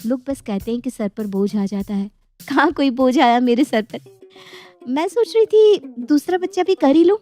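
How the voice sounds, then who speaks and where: A cheerful young daughter-in-law from a comic storybook, put on by a woman narrator. Her voice is fairly high, gushing and breezily self-assured, over background music. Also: lively intonation, normal speed, mellow. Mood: breezy, delighted.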